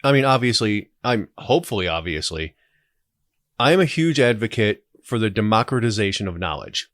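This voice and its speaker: A man's voice: on the low side, expressive, normal speed, a bit bright, no echo, studio quality. A man in his forties talking casually as a podcast guest, reflective and opinionated. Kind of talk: casual conversational interview.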